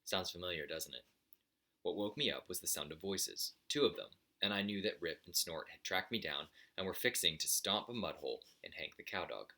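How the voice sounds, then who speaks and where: A man's voice: low-pitched, lively intonation, fairly quick, bright, forceful tone. A man narrating a children's story aloud in the first person, as the storyteller.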